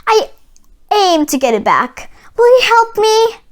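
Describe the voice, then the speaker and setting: A woman's voice: extremely high pitch, very animated, slow pace, pitched above the performer's own voice, heavily acted. A woman reading a storybook, playing a brave, earnest young cowboy boy. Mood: determined. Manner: earnest, resolute.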